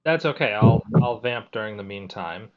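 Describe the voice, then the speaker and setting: A man's voice: lowish voice, animated, normal speed, phone-quality sound, slight background noise. A man hosting a technical webinar on a video call, reading chat questions and filling time with self-deprecating jokes. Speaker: webinar host and moderator. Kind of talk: casual moderating and filler talk.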